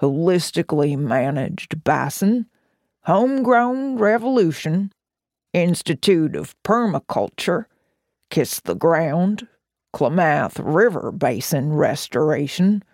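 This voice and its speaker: Slow gravelly voice reading a list. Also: mid-range voice, no room sound, clean studio sound. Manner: slow, even listing of names. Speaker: representative. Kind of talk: scripted roll-call reading.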